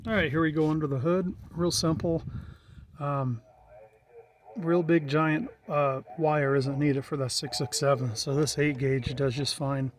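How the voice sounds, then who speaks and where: A man's voice: a little high, steady tone, a little slow. A middle-aged American man giving a casual, unscripted walkthrough in a plain-spoken, matter-of-fact way. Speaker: hobbyist presenter.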